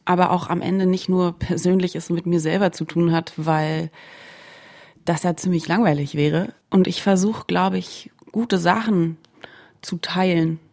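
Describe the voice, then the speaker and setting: Female musician from Germany in interview, noticeable vocal fry, slightly low pitch, reflective, word-searching delivery. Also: fairly even intonation, measured pace, darkish tone. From Berlin, Germany. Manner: thoughtful, searching for words.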